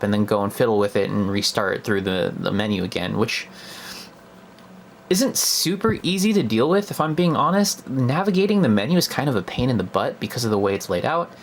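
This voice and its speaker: A man's voice: mid-range voice, a little hiss or hum. A young man giving a casual, unscripted product review in a hesitant, conversational way, as if thinking aloud.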